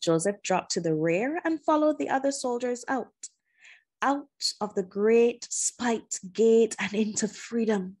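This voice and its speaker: Husky-edged woman reading a story aloud. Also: fairly high pitch, slow, a little mellow. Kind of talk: expressive scripted narration of a children's adventure novel.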